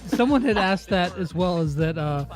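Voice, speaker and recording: A man's voice: high-pitched, animated, moderate pace, soft background music. A man interviewing a guest, asking casual, conversational questions.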